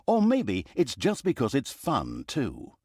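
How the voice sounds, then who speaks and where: Sardonic, wildly swooping fantasy game narrator. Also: male voice, medium pitch, huge pitch swings, takes it slowly, darkish tone, husky, no room sound, studio quality, exaggerated voice. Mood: wry, dramatic. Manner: mock-dramatic, wry asides. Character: sardonic, theatrical male narrator of a villainous fantasy tale. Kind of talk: scripted comic storybook narration.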